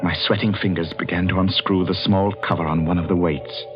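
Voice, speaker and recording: A man's voice: sits low, fairly even intonation, fairly quick, over music, close to an everyday voice. An actor playing a haunted, anxious young man, brooding and jittery, in the first-person style of 1940s radio drama. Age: young adult. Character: haunted, anxious young man narrating his own doom. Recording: muffled 1940s radio recording.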